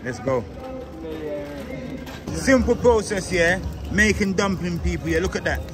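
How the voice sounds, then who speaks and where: A man's voice: high-pitched, lively intonation, a little slow, over music, crowd noise. A man hosting a street vlog, upbeat and slangy, repeating catchphrases as he hands out food. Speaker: vlog host feeding the homeless. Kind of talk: casual street vlog chat. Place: busy town street outdoors.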